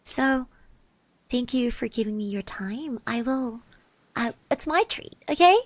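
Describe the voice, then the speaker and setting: Woman doing a shy sweet classmate girl for asmr roleplay, high voice, really slow n hesitant, stammers a bit. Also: expressive, no echo. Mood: bashful, friendly. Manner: hesitant, stammering, often dropping to soft murmurs and whispers. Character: shy, sweet schoolgirl classmate, grateful and bashful. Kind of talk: ASMR roleplay, addressed to the listener.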